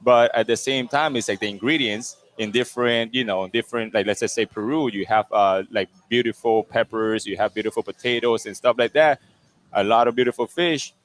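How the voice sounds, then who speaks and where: A man from Venezuela, a chef and restaurant co-owner, talking in a relaxed, rambling way, full of fillers, in a restaurant. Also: medium pitch, brisk. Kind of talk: casual interview answers.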